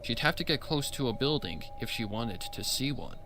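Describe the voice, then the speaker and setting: A man's voice: medium pitch, lively intonation, normal speed, background noise. A man reading scripted story narration as a fan fiction narrator.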